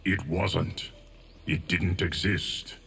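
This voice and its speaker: A man playing a stoic, ancient guardian of time in a video game, speaking formally and gravely with a gravelly voice. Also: steady tone, very noisy, full character voice. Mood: solemn, measured. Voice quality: deep, gravelly. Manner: slow, measured, formal. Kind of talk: scripted game dialogue.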